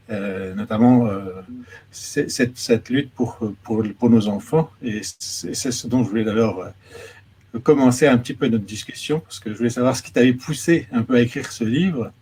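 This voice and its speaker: A man's voice: medium pitch, mellow, breathy edge. A man interviewing an author, speaking hesitantly and repeating words as he builds his questions. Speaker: interviewer, education attaché. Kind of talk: moderated conversation. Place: New York.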